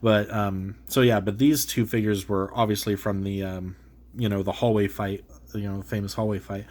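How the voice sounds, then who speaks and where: A man's voice: on the low side, fairly even intonation, normal speed, a little mellow. A man with a cold, sounding congested, talks casually as he opens and reviews an action figure, full of fillers and restarts. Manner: rambling, filler-heavy, self-correcting. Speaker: toy reviewer, channel host. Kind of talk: casual unboxing chat.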